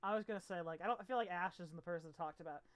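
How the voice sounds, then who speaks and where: Brief: woman game master, lowish voice, casual, mutters while she works the map. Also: racing. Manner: casual, thinks aloud while working the map. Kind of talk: running a tabletop game over voice chat.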